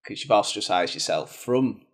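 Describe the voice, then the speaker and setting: A man with a strong Mancunian accent, hosting a conversational interview in a relaxed, jokey, colloquial way. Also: lowish voice, moderate pace, a crowd in the background. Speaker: podcast host.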